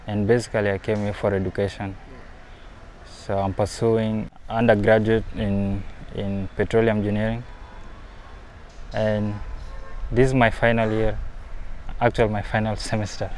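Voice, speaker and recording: A young man from South Sudan with a fairly low voice speaks in a documentary interview, thoughtful at first and livelier as he goes on. Faint outdoor ambience and passing traffic hang behind him. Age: young adult.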